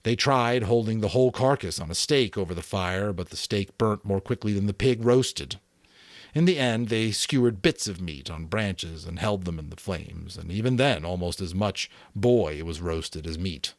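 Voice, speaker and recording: Deep-voiced man reading a story dramatically. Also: normal speed, no echo. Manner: expressive, theatrical. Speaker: narrator.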